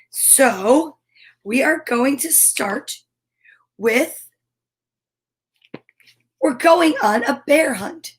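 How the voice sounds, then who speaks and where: A woman hosting an animated children's story read-aloud, with sing-song repetition, dramatic exclamations and casual asides. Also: a little high, rough recording. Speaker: host reading picture books aloud.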